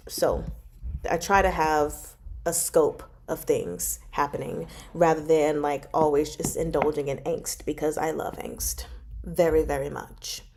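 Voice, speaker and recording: A woman chatting casually as a livestream host, informal and expressive, drawing out words for emphasis as she answers viewers. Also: low-pitched. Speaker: host of a book club livestream. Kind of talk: casual chat, reading and answering viewer comments.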